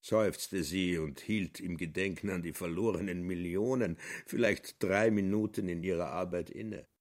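A man's voice: sits low, steady tone, moderate pace, natural voice. A man narrating a satirical literary radio drama. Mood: dry.

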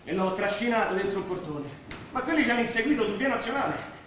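A man acting on stage as an excitable working-class Roman who tells street stories vividly and mimics the people he quotes. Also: high voice, fast talker. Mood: vivid storytelling. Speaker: stage actor. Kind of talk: theatrical stage drama dialogue. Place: theatre stage, recorded from afar. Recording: echoey stage, distant mic, faint noise.

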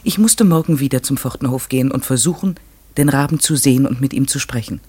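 An actor playing a reflective, troubled man who narrates a radio play in the first person, measured and literary. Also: mid-range voice, a little mellow, husky, natural voice. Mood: uneasy, pondering. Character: troubled, reflective male narrator, a village lodger.

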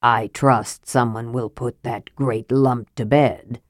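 Casting: disdainful fantasy wizard, low and quiet, coldly irritable female narrator in the role. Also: fairly even intonation, takes it slowly, no echo, studio quality, exaggerated voice. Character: haughty, irritable male mage, disdainful and cold.

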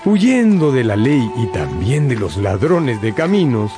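A man's voice: mid-range voice, very animated, a little slow. A man narrating a scripted radio drama as a dramatic storyteller. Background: background music.

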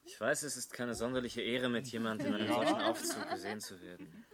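A man's voice: mid-range voice, brisk, crowd noise, lightly acted. An actor playing an embittered, proud, shabby young man in a radio drama, agitated and self-deprecating. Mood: ashamed, defiant. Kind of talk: German radio drama acting.

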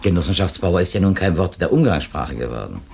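An actor playing an earnest, reflective literary editor in a naturalistic radio play, with hesitant, dryly ironic turns. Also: male voice, low-pitched, expressive, fast talker, vocal fry, a little hiss or hum. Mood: musing, wry. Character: earnest, musing male publishing editor visiting an author.

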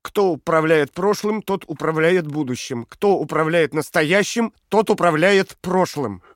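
An actor in an audio drama playing a captive dissident under interrogation, fearful yet stubborn and earnest. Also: male voice, fairly high pitch, a little slow, no echo, lightly acted. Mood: wary, stubborn.

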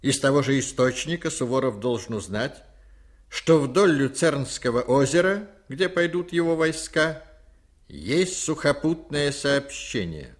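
An actor playing a ruthless, commanding Jesuit priest in a radio drama, speaking deliberately with insistent, drawn-out emphasis. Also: male voice, medium pitch, lively intonation, close to an everyday voice. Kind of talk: Soviet radio drama acting.

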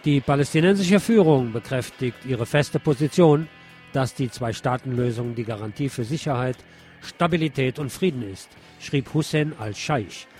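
Male radio presenter, medium-pitched voice, press review read aloud with commentary, some music in the sound. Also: a little mellow. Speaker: radio host.

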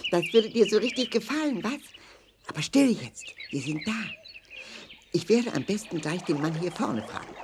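Animated, polite, sensible leader. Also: medium pitch, a little slow, pauses often. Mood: friendly, polite. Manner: polite, expressive, clearly articulated. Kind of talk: children's audio play acting. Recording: faint noise with birdsong.

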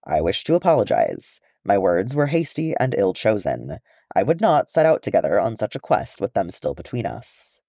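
A man voicing a proud young dwarf warrior from a storybook, gruff and formally courteous. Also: lowish voice, wildly swooping pitch, normal speed, warm tone, no room sound, studio quality, exaggerated voice. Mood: stiff apology.

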